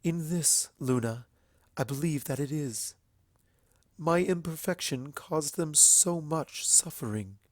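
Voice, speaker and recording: A man voicing a gentle, sorrowful sun princess mare in a storybook reading, with soft, formal speech. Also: on the high side, takes it slowly, no room sound, voice pushed up.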